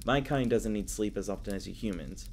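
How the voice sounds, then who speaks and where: Casting: male actor for kindly elf park ranger, lowish voice, stammering, self-deprecating, casual fantasy roleplay delivery. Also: fairly quick, darkish tone, slight background noise, close to an everyday voice. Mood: gentle, caring. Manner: rambling, stammering, apologetic, self-deprecating humor. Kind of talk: fantasy audio roleplay, casual conversational acting.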